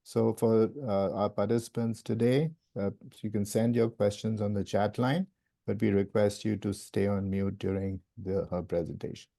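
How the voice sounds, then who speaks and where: Mellow, low-voiced male host. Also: little pitch movement, normal speed, vocal fry. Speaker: host introducing a speaker. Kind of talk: event introduction, partly read out.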